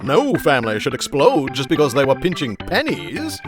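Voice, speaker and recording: A man's voice: mid-range voice, wildly swooping pitch, moderate pace. A man playing an upbeat, melodramatic announcer in a pastiche of old-time radio advertising. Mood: salesmanlike. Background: music.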